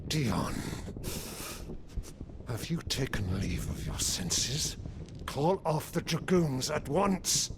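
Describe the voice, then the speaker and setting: A man's voice: sing-song, slow, long pauses, exaggerated voice. An actor playing an older, imperious emperor and father in a video game, proud, theatrical and commanding. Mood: outraged. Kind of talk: dramatic video game voice acting. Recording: loud rumbling background noise.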